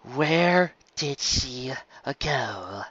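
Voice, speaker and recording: A man's voice: mid-range voice, lively intonation, very slow, a bit bright, slightly rough, no room sound, dull audio, full character voice. A man voicing a sugary but demanding earth pony mare with a coaxing way of talking, in a storybook reading.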